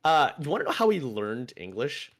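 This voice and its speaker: Animated, laughing man chatting with friends. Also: medium pitch, moderate pace. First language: Cantonese. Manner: animated, laughs often, self-mocking. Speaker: podcast co-host. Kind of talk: casual chat among friends.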